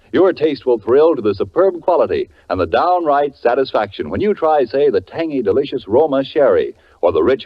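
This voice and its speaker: Swooping 1940s radio commercial announcer, male. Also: medium pitch. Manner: polished, emphatic salesmanship. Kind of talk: 1940s radio advertisement read. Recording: muffled 1940s radio recording.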